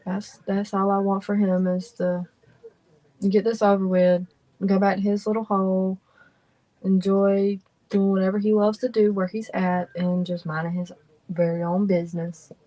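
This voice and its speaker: A young adult woman hosting a livestream in a relaxed, drawling, chatty way. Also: medium pitch, normal speed, poor audio. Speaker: streamer and host. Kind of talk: casual livestream chat.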